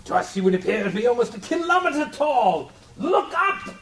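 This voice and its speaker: A man playing a defensive reformed villain, a whiny, excitable mad doctor, in a live comedic radio play. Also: very high voice, normal speed, heavily acted. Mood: excited. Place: live convention stage. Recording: echoey live stage, distant mic.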